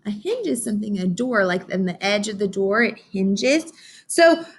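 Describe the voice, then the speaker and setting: A woman's voice: medium pitch, big pitch swings, moderate pace, husky. A woman teaching young children in an interactive read-aloud lesson, encouraging and instructional, often putting questions to her listeners.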